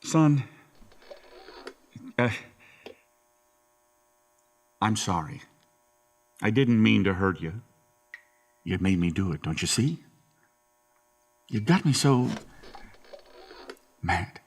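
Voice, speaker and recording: A man's voice: fairly low pitch, sing-song, takes it slowly, long pauses, exaggerated voice. An actor playing a bullying middle-aged father in an audio horror drama, domineering and coarse as he grills his grown son. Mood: wheedling remorse.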